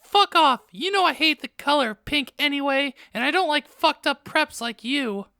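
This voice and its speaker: Woman doing snarky mad goth teen girl, high bright voice, scornful, dry close sound, audiobook. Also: expressive, moderate pace, creaky, no room sound, heavily acted. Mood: angry, scornful. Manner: snippy, scornful.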